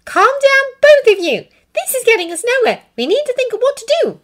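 A woman voicing a sensible teenage witch girl who speaks carefully to calm others. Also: fairly quick, full character voice. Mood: alarmed. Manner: careful, placating, high and loud.

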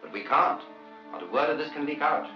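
A man's voice: fairly high pitch, lively intonation, a little fast, lightly acted. A man acting a stern, secretive chief of a research station in a 1950s film. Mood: grave, commanding. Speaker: film actor. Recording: dull echoey vintage film soundtrack, loud music.

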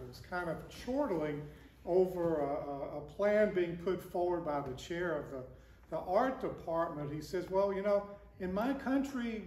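An older man, a priest, reading the gospel and giving a conversational sermon with broad gestures, recorded by a far camera in a large wooden church. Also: sits high. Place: large wooden church with stained glass, indoors. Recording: echoey church from a distance.

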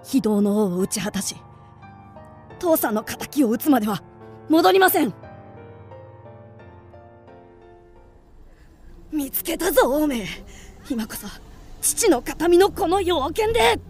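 Teenage boy hero, radio drama: high, halting, intense, bent on revenge. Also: big pitch swings, a little mellow. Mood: vengeful resolve. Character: earnest vengeful teenage son of a murdered swordsmith. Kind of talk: theatrical radio drama acting. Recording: music behind the voice.

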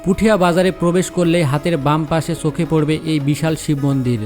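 Slightly high-voiced travel narrator. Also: male voice, fairly quick, over loud music. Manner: steady, descriptive voice-over.

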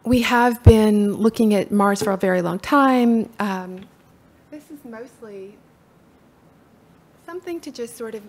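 A middle-aged woman from Appalachia with a soft-spoken Appalachian accent, giving a conference talk from a podium in a hall. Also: a little high, unhurried. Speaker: conference speaker. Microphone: podium mic from far camera.

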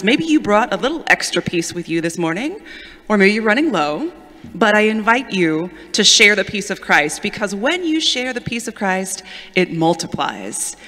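Woman, worship leader, measured liturgical delivery, long church reverb, medium pitch. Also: a bit bright. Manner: measured, deliberate public address. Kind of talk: liturgical reading and welcome to congregation. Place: large church sanctuary. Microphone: pulpit microphone.

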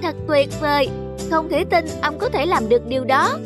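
An eager, curious little schoolboy in a cartoon folk tale, played by a woman. The voice is high and childlike, with children's music clearly behind it. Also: animated, normal speed. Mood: amazed. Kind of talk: scripted cartoon dubbing.

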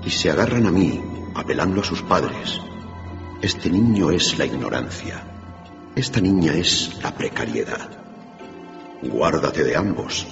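An actor playing a grave, aging Christmas spirit in a radio drama, speaking slowly and solemnly. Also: male voice, rumbling low, animated, creaky, heavily acted. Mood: grave warning. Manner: grave, slow, declamatory. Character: solemn dying giant spirit of Christmas, aging male ghost. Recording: dull radio recording with long reverb, music behind.

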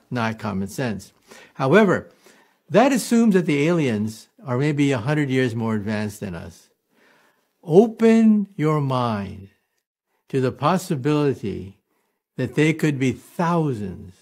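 An older man who explains with a lively voice that rises and falls. His delivery is emphatic and lecturing, and he slows down to stress key points. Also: mid-range voice. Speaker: physicist interviewee. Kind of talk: explanatory talk.

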